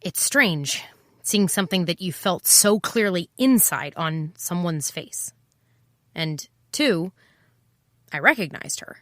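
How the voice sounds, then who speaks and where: Casting: sardonic woman detective in audio noir, lower-pitched, casual and confiding, impulsive, very dry studio sound. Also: lively intonation, slow pace, a bit bright, no room sound. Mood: wry, reflective. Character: wry, impulsive female private eye, over twenty-seven. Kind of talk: first-person noir audio drama narration and dialogue.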